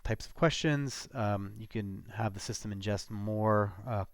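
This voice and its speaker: A man presenting a software demo as an informal screencast walkthrough, talking casually and haltingly as he thinks aloud. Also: fairly low pitch, dry recording.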